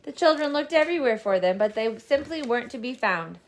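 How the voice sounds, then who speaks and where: High-voiced woman reading a children's story. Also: animated, brisk. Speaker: storybook reader. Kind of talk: expressive scripted children's story narration.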